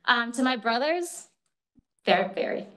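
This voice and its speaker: Young kenyan woman thanking everyone at her defense, bit high voice, laughs a lot. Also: animated, measured pace, a bit bright. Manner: grateful, laughs often between phrases. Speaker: PhD candidate. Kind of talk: thank-you speech at a thesis defense. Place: room with an audience.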